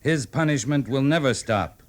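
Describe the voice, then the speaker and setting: An actor playing a steady, firm cavalry captain in a 1950s radio Western, speaking in a grave, measured way on a vintage recording. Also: male voice, medium pitch, close to an everyday voice.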